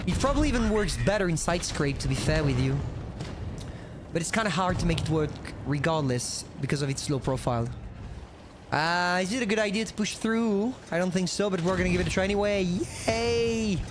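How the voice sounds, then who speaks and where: Young French-accented male streamer, high-pitched, loose and chatty, noisy mic that keeps cutting out. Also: animated, brisk. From France. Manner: casual, rambling, talks to himself. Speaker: gaming streamer. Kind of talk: live gameplay commentary, chatting with viewers.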